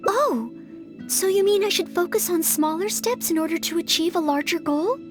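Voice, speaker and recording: A woman voicing a humble, polite young maid who dreams of knighthood in an anime-style video game, speaking with gentle earnestness and self-doubt. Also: extremely high pitch, lively intonation, normal speed, music in the background. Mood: flustered, thoughtful.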